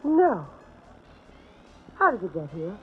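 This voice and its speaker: An old, tinny radio recording with faint music. a devoted, resolute woman in a horror drama. Her mid-pitched voice is husky, and her delivery is earnest and theatrical. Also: big pitch swings, takes it slowly, full character voice. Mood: tense, tender.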